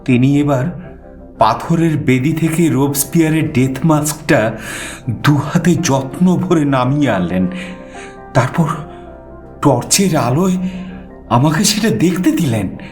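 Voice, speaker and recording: A man narrating a suspense story in the first person, theatrical, with dramatic pauses. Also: fairly high pitch, lively intonation. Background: music.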